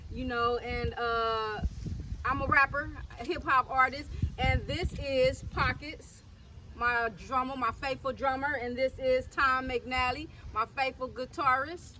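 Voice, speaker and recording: A woman's voice: sits high, unhurried, noisy background. A woman from South Los Angeles, a rapper, talking casually in an interview with easy fillers and repeats. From South Central Los Angeles. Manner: relaxed, repeats words, many fillers.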